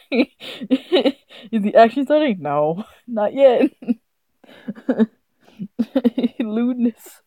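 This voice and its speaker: A woman's voice: medium pitch, warm tone, dry recording, clean studio sound. A woman streaming a visual novel, giggly and amused, reading text aloud casually and stumbling over it, game music behind her. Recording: slightly dull sound, game music behind.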